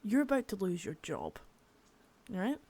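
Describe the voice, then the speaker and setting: A woman's voice: mid-range voice, huge pitch swings, a little slow, mellow, breathy edge, heavily acted. A woman playing a stern, cursing boss. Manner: harsh, profane, cold, drifts into whispers. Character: stern foul-mouthed female boss, intimidating. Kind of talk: ASMR roleplay.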